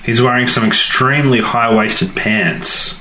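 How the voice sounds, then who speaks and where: A man's voice: medium pitch, a little slow, sharp tone. A man co-hosting a podcast in casual, conversational chat, reacting to his partner. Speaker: podcast co-host. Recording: echoey room, faint background noise.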